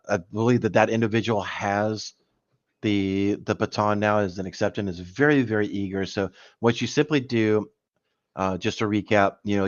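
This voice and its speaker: Rambling low-voiced man hosting a stream. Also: no room sound, highs cut off. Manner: rambling, many fillers and restarts. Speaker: guest host of a live cyber news stream. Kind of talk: casual livestream chat.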